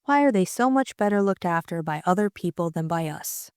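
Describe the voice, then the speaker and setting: A woman's voice: lowish voice, expressive, brisk, darkish tone, clean tone, no room sound, studio quality, close to an everyday voice. A woman voicing an earnest, decided young woman in an audiobook, speaking plainly. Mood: plaintive. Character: earnest young woman, firm but admiring.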